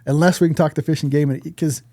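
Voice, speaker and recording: A man's voice: fairly high pitch, fairly even intonation, fast talker, dark tone, dry recording, clean studio sound. A man hosting a podcast in a casual, conversational style, speaking bluntly and swearing freely. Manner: blunt, profane. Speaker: podcast host. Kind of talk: casual chat.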